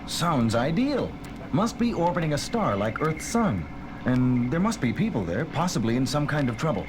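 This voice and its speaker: An actor playing an authoritative, wary space base commander in a science fiction drama. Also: male voice, a little high, very animated, moderate pace, noisy background. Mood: commanding, urgent. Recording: loud music over dialogue.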